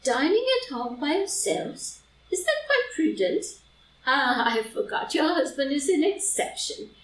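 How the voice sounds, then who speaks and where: A woman's voice: sits high, sing-song, unhurried. Chatty, self-assured society matron played by a woman, arch drama delivery, recorded distantly in a roomy space. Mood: breezy, arch. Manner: airy, arch social chatter. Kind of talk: stage play read as audio drama. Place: indoor room, live group reading. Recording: roomy, distant live reading, faint noise.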